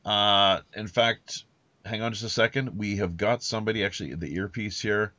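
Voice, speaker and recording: A low-pitched man hosts a pirate-themed podcast in a rambling, folksy manner. He improvises as he goes, dropping playful nautical lingo. The sound is dry and a little dull, like a lo-fi online stream. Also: steady tone, moderate pace, sharp tone, no room sound. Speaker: podcast host.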